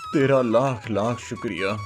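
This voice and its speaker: A man's voice: mid-range voice, measured pace, close to an everyday voice. Humble old mendicant played by a man, pleading and devout, cartoon story, music underneath. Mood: grateful, devout. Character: humble, parched old wandering fakir, gratefully devout. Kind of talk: Hindi moral cartoon story dubbing.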